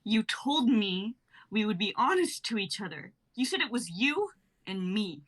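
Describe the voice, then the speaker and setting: A woman's voice: medium pitch, sing-song, unhurried, fairly bright tone. A woman reading the part of a hurt young wife confronting her husband in a live-streamed script reading. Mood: bitter, accusing. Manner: accusing, bitter.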